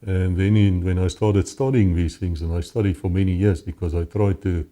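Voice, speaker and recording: Middle-aged male guest, Afrikaans South African accent, low and very mellow, recounting his own story. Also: steady tone, normal speed. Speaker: interview guest. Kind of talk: personal testimony, conversational storytelling.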